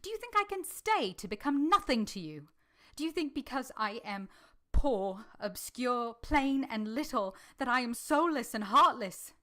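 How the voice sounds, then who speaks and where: A woman's voice: on the high side, lively intonation, measured pace, bright, forceful tone. A woman playing an earnest, humble but passionate young governess in formal literary language, in a vintage-style radio drama. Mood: anguished, passionate.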